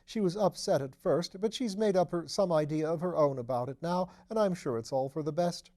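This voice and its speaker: A man's voice: on the high side, a little fast, dark tone, voice pushed up, lightly acted. A man voicing a small, nervous, apologetic man in an audiobook, deferential and fussy as he repeats himself. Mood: flustered, grateful. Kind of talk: audiobook character voice.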